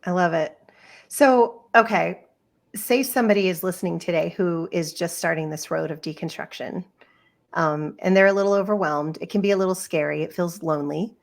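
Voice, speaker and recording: A woman hosting a faith podcast, her voice sitting a little low for a woman. She talks in an earnest, gushing way and leans on casual fillers as she chats with her guest. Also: moderate pace, vocal fry. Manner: earnest, effusive, lots of fillers. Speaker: podcast host. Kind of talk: casual interview chat.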